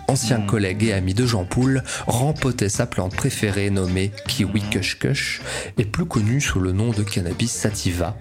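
Low-voiced deadpan male narrator. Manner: deadpan, precise. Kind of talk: scripted storybook-like fiction narration. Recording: music behind the voice.

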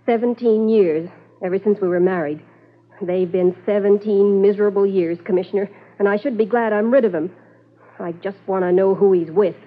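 An actress playing a bitter, long-suffering middle-aged wife in a 1950s radio drama, resentful and weary. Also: female voice, mid-range voice, steady tone, moderate pace, close to an everyday voice. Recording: muffled, thin old radio recording.

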